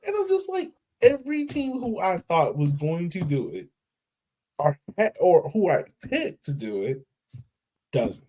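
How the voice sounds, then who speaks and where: A man's voice: sits high, sing-song, unhurried, dark tone. A man co-hosting a sports podcast in casual chat, rambling, correcting himself mid-sentence and laughing easily. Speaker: podcast co-host.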